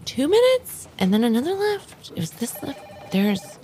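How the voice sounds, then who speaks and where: A woman playing an anxious, flustered secret agent in an improvised audio drama, muttering nervously and haltingly. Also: high voice, wildly swooping pitch, dark tone, a little hiss or hum, full character voice. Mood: anxious, self-soothing. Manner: halting, nervous, repetitive muttering and self-encouragement. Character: anxious, flustered female secret agent, talking herself through danger.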